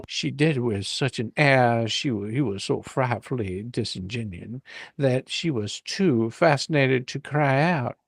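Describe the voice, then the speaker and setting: A male storyteller with a gravelly creak, recorded close and dry, reads a children's adventure tale aloud. He performs it theatrically, playing it for comedy. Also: medium pitch, measured pace, dry recording. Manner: hammy, ad-libs folksy drawling turns of phrase. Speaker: storyteller reading a book aloud to a live audience.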